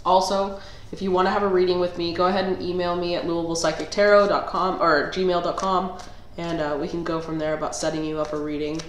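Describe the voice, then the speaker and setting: A woman talks through a tarot reading in a relaxed, rambling way, pausing on fillers and starting sentences over. She sounds a little nasal, as if getting over a cold, and her voice sits on the low side. The room gives an echoey ring, with a faint hiss underneath. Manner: thinks aloud with fillers and restarts. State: under the weather, clearing throat. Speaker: tarot reader. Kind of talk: casual tarot reading monologue.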